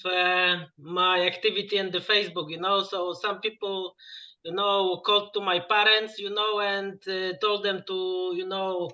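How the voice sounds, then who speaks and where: A man with a Polish accent talks in English, pausing often and leaning on "you know." His voice sits high and sounds bright and forward. The audio is dull and lo-fi, like a video call. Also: level tone. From Poland. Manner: halting, lots of "you know" fillers. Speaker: guest musician. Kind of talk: casual interview over a call.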